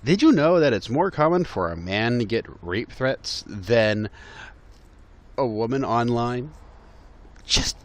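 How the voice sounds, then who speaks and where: A man's voice: medium pitch, expressive, slow pace, pauses often, dry recording. A man giving exasperated, mocking reaction commentary on a video, laughing through his remarks.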